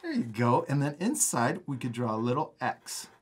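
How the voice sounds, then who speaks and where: A man's voice: medium pitch, big pitch swings, normal speed, slightly rough. A man hosting a step-by-step drawing lesson for children in a casual, encouraging manner. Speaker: art teacher and host.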